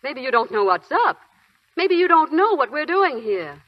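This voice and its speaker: An actress playing a warm but firm senior army nurse in a 1940s radio drama, speaking in a coaxing, sometimes scolding way. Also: female voice, sits high, big pitch swings, moderate pace. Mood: stern. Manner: teasing, then firm and scolding. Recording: muffled 1940s radio broadcast with faint music.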